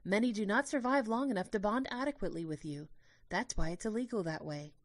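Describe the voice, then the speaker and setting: A woman voicing a gentle, petite female tour guide who explains things politely to a group, in an audio drama. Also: mid-range voice, brisk, no echo, voice pushed up, close to an everyday voice. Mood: pleasant. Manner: explanatory, polite public address.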